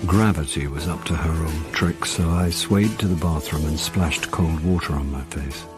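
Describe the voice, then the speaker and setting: A world-weary British actor in middle age, low in pitch, in a radio drama. He is dry and self-deprecating, turning hesitant when he talks to others. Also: normal speed, over music. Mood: wry, confiding. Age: middle-aged. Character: wry, world-weary middle-aged male jobbing actor. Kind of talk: radio drama narration and dialogue.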